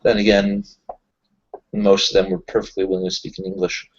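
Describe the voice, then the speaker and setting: A man's voice: low-pitched, monotone, fairly quick, muffled recording. A man chatting casually as a player in a tabletop roleplaying group, explaining things in a discursive way. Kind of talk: casual in-character group chat.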